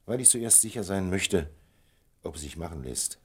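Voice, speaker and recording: A man playing an indulgent, tender and slightly secretive lover in a German radio play, with a husky, gravelly voice and measured delivery. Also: low-pitched, lively intonation. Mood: secretive, deliberate. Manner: measured, indulgent, murmuring agreement. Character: indulgent, well-travelled male lover Charles, tender and secretive.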